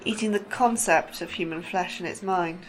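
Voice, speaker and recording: A woman's voice: fairly low pitch, normal speed, slight background noise, natural voice, rough recording. Anxious, sardonic woman scientist played by an actress. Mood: unsettled, questioning. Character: anxious, sardonic young female scientist on probation. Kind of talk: audio fiction acting.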